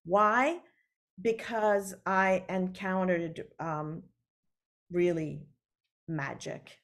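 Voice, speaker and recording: A woman from Switzerland whose first language is French, an author talking over a video call from her book-lined study, unhurried and full of fillers. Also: fairly low pitch. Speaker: author guest. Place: home study with full bookshelves. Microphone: laptop webcam on video call.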